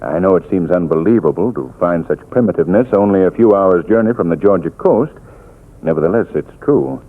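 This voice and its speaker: A worldly gentleman storyteller in a vintage radio mystery, low and calm with expressive swings in pitch. The sound is narrow and tinny, like an old broadcast. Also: fairly quick. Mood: measured storytelling. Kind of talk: 1940s radio drama acting. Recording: tinny 1940s radio recording.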